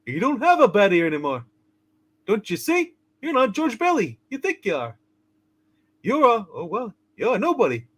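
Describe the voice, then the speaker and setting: Fussy old guardian angel, extremely high and swooping, chirpy, theatrical radio-play ham. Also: male voice, huge pitch swings, moderate pace, fairly bright tone, slightly rough, exaggerated voice. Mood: delighted, explaining. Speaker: channel co-host. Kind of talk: hammed-up radio play reading.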